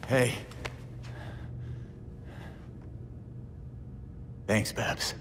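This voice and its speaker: A man playing a brooding, sardonic young vigilante in a video game, gruff and terse with a dry, casual delivery. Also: slow, a bit bright. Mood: subdued. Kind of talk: naturalistic video game acting. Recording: reverberant room with faint noise.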